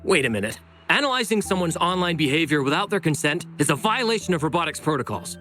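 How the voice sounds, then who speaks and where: A man's voice: fairly high pitch, sing-song, fast talker, sharp tone, faint music behind. A man playing an easygoing, slightly awkward young researcher in a video game, friendly and a little hesitant. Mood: flustered, curious. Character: easygoing, optimistic young male academy researcher, a bit awkward.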